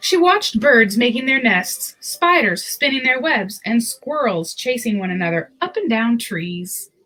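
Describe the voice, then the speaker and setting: A woman's voice: on the high side, animated, unhurried, fairly bright tone, faint music behind, poor audio. A woman hosting a picture book read-aloud, telling the story expressively with casual asides.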